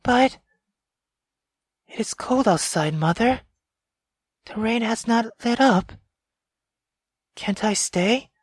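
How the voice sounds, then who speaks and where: A man voicing a timid young girl in a visual novel, speaking softly and apologetically. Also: very high voice, expressive, slow pace, pauses often, breathy edge, no room sound, clean studio sound, pitched above the performer's own voice, exaggerated voice. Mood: meek pleading. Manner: soft, pleading and apologetic.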